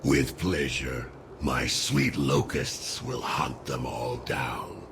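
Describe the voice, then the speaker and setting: A man voicing a sinister undead insect lord who dotes on his locust swarm in a slow, menacing, gravelly croak, in fantasy game cutscene style. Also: very deep voice, level tone, noisy background, heavily acted. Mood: eager, creepy.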